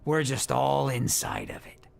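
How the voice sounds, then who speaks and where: A man's voice: medium pitch, wildly swooping pitch, a little slow, creaky, exaggerated voice. A man playing a tough, cryptic manager in a noir audio drama, commanding and ominous. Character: tough, menacing, cryptic male showbiz manager.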